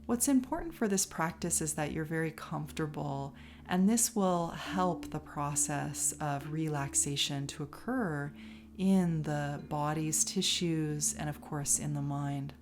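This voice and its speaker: A woman leading a guided relaxation as a yoga teacher, calm and soothing, leaving long pauses between phrases. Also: low voice. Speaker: yoga nidra instructor. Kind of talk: guided meditation, relaxation instruction. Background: music behind the voice.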